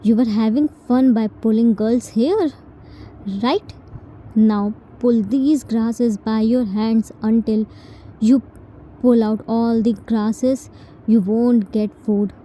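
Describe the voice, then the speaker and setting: A woman's voice: a little high, steady tone, slow pace, hardly any pauses, dark tone, rough recording. A woman voicing a stern, hot-tempered young man who scolds and gives orders in a commanding way.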